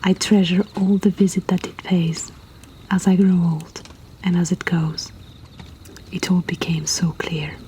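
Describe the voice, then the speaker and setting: A woman softly whispering a poetry reading as an intimate storyteller host, breathy and deliberate with long pauses. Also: fairly low pitch, fairly even intonation, slight background noise.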